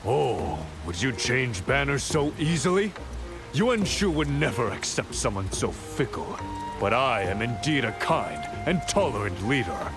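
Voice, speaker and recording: A man's voice: mid-range voice, very animated, a little slow. An actor playing a proud, magnanimous nobleman warlord in a video game cutscene, with formal, grandiose, courtly speech. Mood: haughty. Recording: loud background music.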